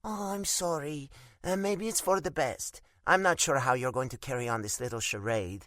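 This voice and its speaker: A man's voice: medium pitch, moderate pace, a bit bright, no room sound, clean studio sound, natural voice. A male audiobook narrator voicing a feisty, blunt young woman who scolds and teases like a little sister. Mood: teasing, blunt. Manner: scolding, teasing, frank.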